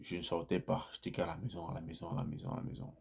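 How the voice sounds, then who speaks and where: A man with a fairly low voice talks to his viewers in a loose, chatty way. He repeats phrases for emphasis and livens up as his stories build. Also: racing, gravelly, husky. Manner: chatty, repeats phrases, grows animated in anecdotes. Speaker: vlogger sharing immigrant experience. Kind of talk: casual first-person storytelling to viewers.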